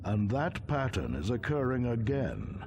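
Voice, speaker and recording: A devout, ominous warrior-sage from a game, with a mid-pitched voice that rises and falls widely. His speech is formal and portentous, over faint background noise. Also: huge pitch swings, a little slow, a little mellow. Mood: grave, knowing. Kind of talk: video game cutscene acting.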